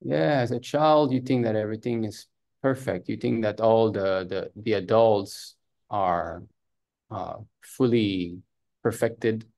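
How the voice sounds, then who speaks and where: A man's voice: fairly low pitch, slow pace, gravelly, darkish tone. A man in his thirties from Canada, a native French speaker, telling his personal story as an interview guest. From Montreal, Canada. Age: 30s. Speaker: podcast guest.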